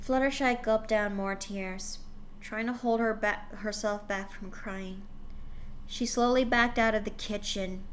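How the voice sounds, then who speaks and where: A woman reads a fan story aloud on a livestream, unhurried and deliberate. Her voice sits at an ordinary mid pitch, and she pauses to check in with her chat. A faint hum of noise sits behind her. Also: steady tone. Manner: slow, deliberate, talks to chat. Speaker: streamer reading fanfiction aloud.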